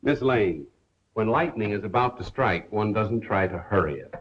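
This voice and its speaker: An actor playing a suave amateur detective and broadcaster in a 1930s film, urbane, unruffled and dryly ironic. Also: male voice, on the low side, animated, normal speed, slight background noise, natural voice. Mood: wry, unruffled. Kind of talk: 1930s Hollywood film acting. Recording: dull 1930s film soundtrack.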